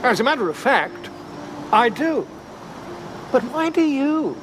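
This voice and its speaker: An actor playing a pompous, excitable self-styled monster scholar in a fantasy video game, speaking in a florid, self-important, theatrical way. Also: male voice, extremely high pitch, slow pace, background noise, full character voice. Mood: smug, lecturing.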